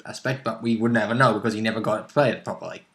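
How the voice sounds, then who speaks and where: A man's voice: fairly low pitch, very fast, a bit bright. A man chatting casually as a player about a tabletop role-playing game, blunt, opinionated and casually sweary.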